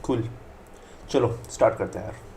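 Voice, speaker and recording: A man's voice: on the low side, rapid-fire, gravelly, loud music behind the voice. A man teaching programming in a casual live stream, chatty and often checking in with the viewers. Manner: chatty, keeps checking in with "okay". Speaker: Python tutor streaming live.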